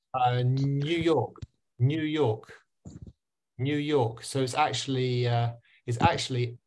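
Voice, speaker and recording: A man teaching pronunciation on a video call, full of fillers and restarts. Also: mid-range voice. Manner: hesitant, many fillers and restarts. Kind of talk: online class.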